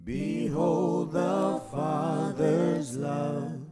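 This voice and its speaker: A woman singing a Christmas worship song with long, drawn-out phrases. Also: low voice. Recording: loud music with the voice.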